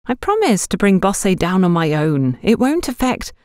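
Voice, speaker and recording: A bold young shop girl, put on by a woman narrator, pitched high and very animated. She is blunt and challenging, in audiobook style. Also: moderate pace, no echo, clean studio sound, natural voice. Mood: earnest. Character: bold, outspoken young salesgirl, loyal and challenging.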